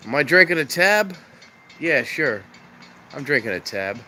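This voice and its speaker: A man's voice: a little high, big pitch swings, slow. A man with a stuffed-up nose chatting casually as a train-spotting vlogger outdoors at a railroad crossing, filming on a camera mic. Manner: rambling, chatty, easygoing. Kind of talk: casual live-stream chat. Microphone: handheld camera mic, wind noise. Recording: loud traffic and outdoor noise.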